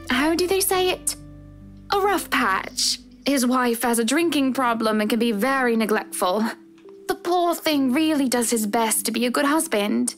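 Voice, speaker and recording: A woman voicing a genteel, bubbly married socialite with a gushing, dainty manner in a visual novel game. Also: on the high side. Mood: concerned. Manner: gushing, dainty, well-mannered society speech.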